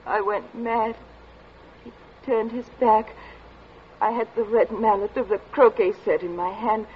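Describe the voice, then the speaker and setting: A woman playing a frightened, distraught young daughter in a radio drama, halting, stammering and tearful as she answers her stern father. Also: fairly high pitch, steady tone, lightly acted. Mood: anguished. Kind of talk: 1940s radio drama acting. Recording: muffled, noisy old radio recording.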